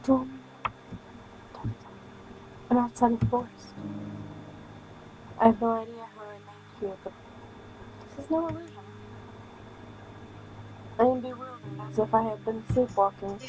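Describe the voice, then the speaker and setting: A woman streaming a visual novel, reading the text aloud with halting delivery and casual commentary. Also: a little high, warm tone, a little hiss or hum, poor audio. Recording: music playing behind the voice. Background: music.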